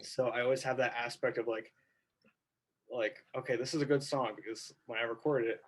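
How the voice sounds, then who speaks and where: A man's voice: mid-range voice, steady tone, fairly quick. A man, a musician, chatting casually about his songs, rambling with lots of fillers. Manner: rambling, full of "like" and "you know". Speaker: musician guest. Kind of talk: casual interview chat.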